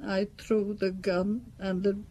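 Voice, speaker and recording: An actress playing a devout, loyal mademoiselle in an old radio drama, speaking earnestly and haltingly with a husky voice. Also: female voice, medium pitch, little pitch movement, mellow. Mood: distressed, confessing.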